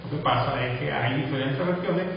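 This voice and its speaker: A man's voice: mid-range voice, creaky, a little hiss or hum. Man lecturing in a distant echoey hall. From Latin America. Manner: measured, with frequent tag questions and pauses. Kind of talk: recorded lecture. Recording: echoey distant room recording, dull.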